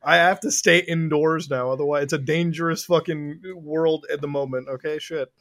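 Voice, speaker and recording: A man's voice: on the high side, steady tone, normal speed, fairly bright tone. A man from Canada with a slightly raised voice jokes and teases his way through a casual podcast chat. He swears freely and laughs often. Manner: jokey, laughs often, teasing. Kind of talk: casual profane banter.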